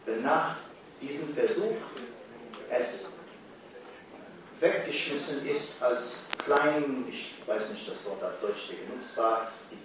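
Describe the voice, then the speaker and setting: A man whose first language is not German, speaking haltingly on a discussion panel and searching for his words. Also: mid-range voice. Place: large hall at a community event. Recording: roomy hall sound from far off, noise.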